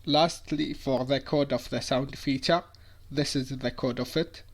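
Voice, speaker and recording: Guy doing a student project presentation, normal pitch, kinda scripted technical talk. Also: steady tone, measured pace.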